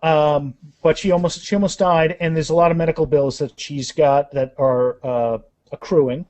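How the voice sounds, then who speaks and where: A man's voice: a little high, fairly even intonation, moderate pace, a little mellow, no room sound. A man speaking as an author and interview guest, telling anecdotes in a casual, rambling conversational way.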